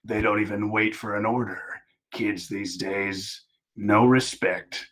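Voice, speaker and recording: Cartoon hero played by a man: aging, hulking vigilante, grim, deadpan, few words, understated delivery. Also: fairly low pitch, takes it slowly. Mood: dry contempt. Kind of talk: cartoon table read.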